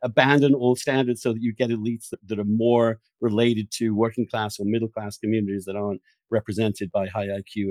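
A man's voice: medium pitch, a little mellow, dry recording. A man hosting a podcast conversation, talking in an emphatic, opinionated way with some swearing. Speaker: podcast host.

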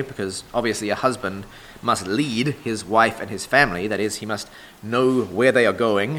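A pastor with a sore throat, hoarse, reading scripture and preaching in a measured, deliberate sermon cadence. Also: male voice, medium pitch, fairly bright tone.

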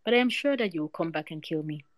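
A proud male snake king, wise and fatalistic, speaks his warnings slowly and solemnly, read aloud by a woman. The voice sits low for a woman. It is recorded close and dry. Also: animated, no room sound. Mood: grave.

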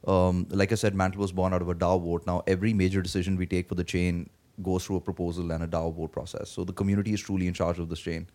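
Low, flat-voiced man with a worn voice. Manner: halting, many fillers and restarts. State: voice worn from days of talking. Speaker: guest, blockchain project team member. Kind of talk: conversational interview answers. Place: conference venue.

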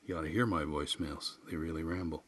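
A man's voice: rumbling low, fairly even intonation, fast, a bit bright. A man hosting a podcast, reading humorous personal essays and chatting informally in a wry, folksy, self-deprecating way. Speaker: writer and podcast host.

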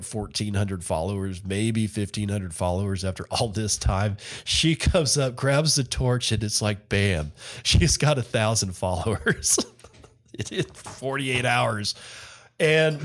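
Rambling chatty male podcast host. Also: on the low side. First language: not Finnish. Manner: rambling, self-correcting, chatty. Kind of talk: casual solo podcast commentary.

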